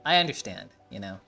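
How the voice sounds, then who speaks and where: A man's voice: on the low side, gravelly, sharp tone. Chatty man commenting on his game. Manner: rambling, chatty, swears casually. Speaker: game streamer. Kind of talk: casual gameplay commentary.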